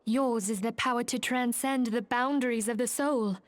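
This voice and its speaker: A woman playing a poised, gracious leader of a secret order in a fantasy game, speaking in calm, formal, measured phrases. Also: on the high side, no room sound, close to an everyday voice. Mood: solemn, explaining. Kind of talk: scripted fantasy game acting.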